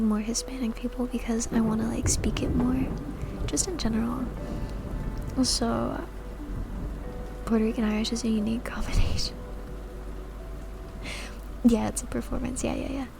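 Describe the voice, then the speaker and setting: A woman streamer whispering soft, giggly ASMR chat to her viewers into a sensitive mic. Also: fairly high pitch, creaky, low-quality recording. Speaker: VTuber streamer.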